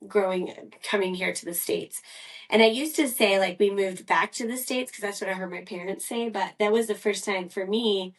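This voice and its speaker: A woman's voice: mid-range voice, recorded from a distance, low-quality recording. A woman from Scotland, co-hosting a podcast and telling her life story in a rambling, chatty way with lots of fillers. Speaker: podcast co-host. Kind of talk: casual personal storytelling.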